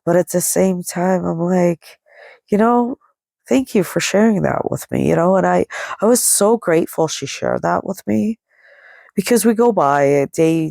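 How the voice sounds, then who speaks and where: Slow, nasal, creaky-voiced woman storyteller. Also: deep voice, darkish tone, no echo. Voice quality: nasal, stuffy. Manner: rambling, conversational, quoting dialogue as she goes. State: congested with a head cold. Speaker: podcast host. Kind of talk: casual personal storytelling. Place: indoors, lying down, dry close sound.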